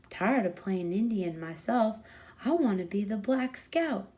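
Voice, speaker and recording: A woman's voice: medium pitch, expressive, a little slow, mellow, lightly acted. A woman voicing a bossy, playful young boy playing scout, with demanding, folksy speech in a storybook reading. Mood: eager.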